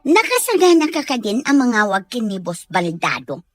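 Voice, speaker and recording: A woman's voice: on the high side, very animated, normal speed, dry recording, soft background music. A woman with a Filipino accent playing a conniving accomplice who eggs on a schemer in a radio drama. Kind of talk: Ilocano radio drama acting.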